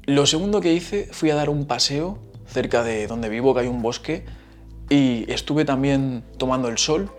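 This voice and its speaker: A man's voice: medium pitch, normal speed, soft background music. A man with a Madrid Spanish accent, hosting a casual solo podcast, chatty and explaining things with asides. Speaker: podcast host.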